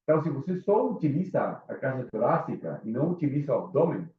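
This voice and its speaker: A man's voice: medium pitch, sing-song, fast. Chilean man lecturing in an echoey room. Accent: Chilean Spanish. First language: Spanish. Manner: casual, engaging, asks the audience questions. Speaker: instructor. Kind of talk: live lecture to a small group. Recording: echoey room, phone-like sound.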